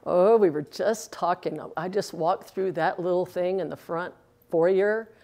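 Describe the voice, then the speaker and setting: A woman's voice: on the low side, a little slow, dark tone. A woman in her sixties, a former pro bowler, chatting earnestly in an interview, with filler words, recorded indoors on a lapel mic. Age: 60s. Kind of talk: casual interview answers. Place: indoors in a bowling alley.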